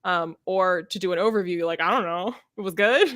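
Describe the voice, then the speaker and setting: A woman co-hosting a podcast, talking casually about books and retelling things in an informal, expressive way. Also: on the low side, a little fast, dry recording, studio quality. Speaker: podcast co-host. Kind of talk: casual book discussion chat.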